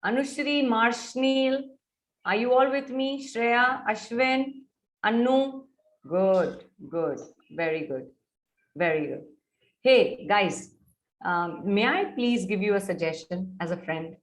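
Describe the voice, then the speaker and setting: A middle-aged woman with an Indian English accent gives an online soft skills lecture to students. She coaxes them and keeps checking whether they are following. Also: fairly high pitch, slow pace. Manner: coaxing, mixes Hindi into English, checks on students often. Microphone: video call.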